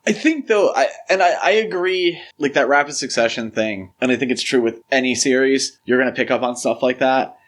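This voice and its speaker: Male podcast co-host, mid-pitched voice, casual and analytical, explains as he chats about film. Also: a little fast, hardly any pauses.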